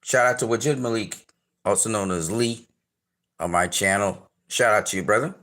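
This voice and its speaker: A man's voice: on the low side, moderate pace, sharp tone. A man with a Trinidadian accent hosting a casual trailer reaction, rambling with many fillers and restarts. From Trinidad and Tobago. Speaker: channel host reviewing a trailer.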